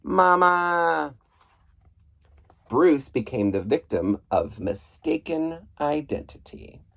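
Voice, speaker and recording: A man reading a children's book aloud in an expressive, playful storybook style, with a husky voice. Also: fairly low pitch, measured pace. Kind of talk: animated storybook read-aloud.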